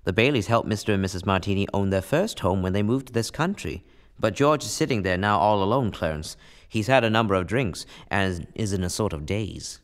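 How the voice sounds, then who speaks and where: A man playing a calm, authoritative senior angel, explaining things in a measured way in a radio play. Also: fairly low pitch, lightly acted. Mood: calm, informative. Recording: long hall reverb, faint music.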